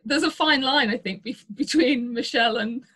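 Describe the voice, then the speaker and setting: A woman's voice: high voice, normal speed, a bit bright, breathy edge. A woman speaking casually as a writer in an informal panel conversation. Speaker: audio drama writer. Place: remote online call.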